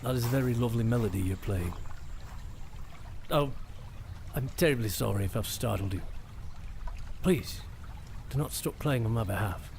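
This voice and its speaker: A man's voice: mid-range voice, moderate pace, vocal fry, long pauses, darkish tone, a little hiss or hum, close to an everyday voice. A young man playing an earnest, courteous royal knight in a fantasy audio drama, speaking formally. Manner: formal, polite, stately.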